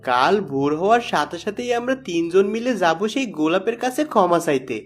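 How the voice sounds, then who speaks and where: A man's voice: sits high, very animated, fairly quick, faint music behind. A man playing a protective, remorseful village father in a fairy-tale cartoon, earnest and emotional. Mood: consoling.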